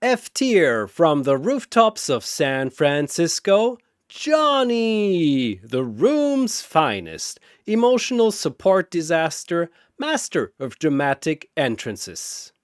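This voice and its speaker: Man playing a booming, bombastic ancient cosmic arena announcer. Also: sing-song, clean tone, no echo, clean studio sound. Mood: bombastic hype. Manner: grandiose announcer delivery with drawn-out names. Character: ancient, gleeful cosmic arena master bellowing introductions.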